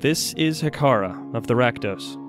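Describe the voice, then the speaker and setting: A man's voice: medium pitch, expressive, normal speed, close to an everyday voice. A man playing a wary, sardonic mage in an audio drama, speaking in a dry, understated way. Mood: guarded, polite. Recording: music under the voice.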